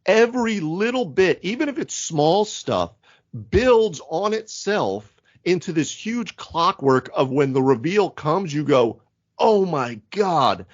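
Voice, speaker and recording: A man's voice: fairly high pitch, big pitch swings, slow. A man co-hosting a casual gaming podcast, rambling emphatically with plenty of hyperbole. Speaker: podcast co-host, game master. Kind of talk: casual tabletop gaming discussion. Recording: dull lo-fi audio.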